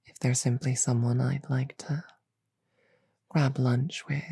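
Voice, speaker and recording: Woman acting as demon queen, regal, teasing, commanding, dark mellow tone, husky, unhurried amused drawl, roleplay audio drama. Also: very deep voice, little pitch movement, no room sound, studio quality, full character voice. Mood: warm, magnanimous. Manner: slow, deliberate, amused drawl with soft chuckles.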